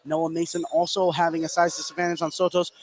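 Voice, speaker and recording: A man with a high-pitched voice calls a basketball game live on the radio. He follows each play as it happens in an excitable manner. There is clear noise from the gym behind him. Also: fast talker. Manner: excitable, calling plays as they happen. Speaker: play-by-play sports announcer. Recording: noisy live gym broadcast.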